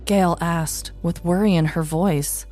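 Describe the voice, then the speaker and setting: A woman's voice: on the low side, steady tone, slow pace, darkish tone. A woman hosting a podcast, narrating a scripted story in a descriptive, mock-dramatic way. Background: music.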